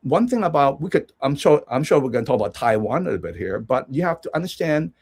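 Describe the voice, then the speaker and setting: A man's voice: mid-range voice, sing-song, quick pace, a little mellow, slightly rough. A man from Hong Kong, a guest analyst giving rambling conversational commentary with many restarts and check-ins.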